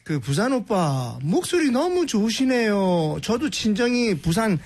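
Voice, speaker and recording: A man's voice: high-pitched, big pitch swings, a little slow, darkish tone, slight background noise. A man co-hosting a radio show, reading listener messages aloud in an upbeat, exclamatory way. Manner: upbeat, exclamatory, laughing often. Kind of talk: casual radio banter.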